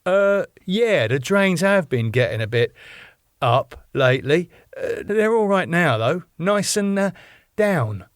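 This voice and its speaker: A man's voice: sits high, sing-song, slow pace, no room sound, studio quality, pitched above the performer's own voice, full character voice. A man reading the part of a flustered young venue manager who hesitates and dodges questions. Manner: hesitant, full of uhs.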